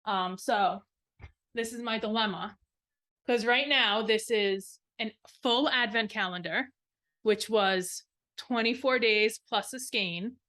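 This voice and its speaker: A woman's voice: a little high, takes it slowly, sharp tone. A woman co-hosting a craft podcast, talking casually in a show-and-tell style and rambling as she thinks aloud. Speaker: knitting podcast co-host.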